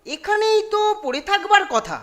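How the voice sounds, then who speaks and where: A woman voicing a mischievous schoolboy prankster with a lively, conspiratorial manner. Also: extremely high pitch, moderate pace. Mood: plotting.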